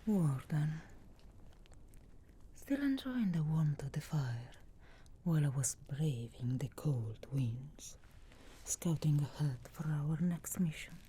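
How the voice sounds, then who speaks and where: A woman voicing a sharp-tongued, sarcastic but reluctantly caring witch in a slow, breathy, whispered ASMR fantasy roleplay. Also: deep voice, animated, a little hiss or hum. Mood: brooding, dry. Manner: slow, deliberate, dry sarcastic teasing.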